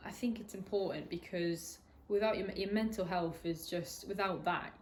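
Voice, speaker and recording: A woman's voice: medium pitch, moderate pace, slight background noise. A woman in her twenties speaking candidly in an interview, hesitant, with many restarts and fillers. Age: 20s. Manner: hesitant, lots of restarts and ums. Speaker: interviewee sharing a personal story.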